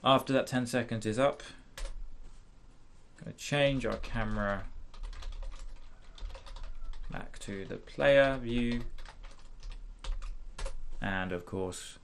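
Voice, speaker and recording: A man hosting a casual software tutorial, thinking aloud hesitantly as he demonstrates. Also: mid-range voice, takes it slowly, vocal fry, long pauses, a little hiss or hum. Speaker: tutorial host.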